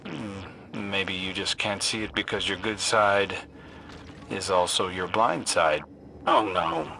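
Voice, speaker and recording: Gravelly, wry adventurer. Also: male voice, expressive, takes it slowly, exaggerated voice. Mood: teasing. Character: wry, brooding young male adventurer. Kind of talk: video game character dialogue. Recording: loud rumbling background noise.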